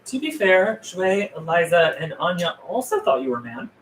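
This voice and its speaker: Woman reading a wary survivor, lively pitch, echoey. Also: normal speed, natural voice. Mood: wry. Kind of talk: table read of a film script. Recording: roomy, echoey with room noise.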